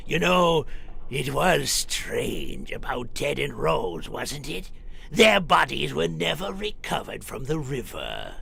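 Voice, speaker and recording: A man's voice: mid-range voice, sing-song, gravelly, sharp tone, breathy edge, full character voice. A man playing an eccentric, spooky horror radio host with a theatrical, macabre manner. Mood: gleefully eerie. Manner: macabre, cackling, drawn-out delivery.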